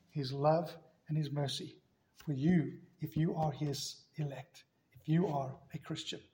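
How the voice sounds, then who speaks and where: Guy preaching in church, kinda high voice, punches words over and over, roomy echo. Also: darkish tone. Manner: emphatic, repeats key words with rising force. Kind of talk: sermon. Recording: echoey room.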